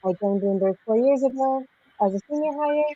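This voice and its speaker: A woman software engineer from Mexico, raised in Florida, introducing herself calmly on a video call. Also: high-pitched, brisk, muffled recording. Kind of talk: panel introduction.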